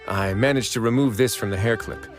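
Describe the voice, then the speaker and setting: A man acts out a cool, commanding duke who runs a prison in a video game. His voice is low, and he reasons in measured, deliberate sentences like a shrewd investigator. Music plays clearly behind him. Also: natural voice. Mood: measured, thoughtful. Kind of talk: animated video game voice acting.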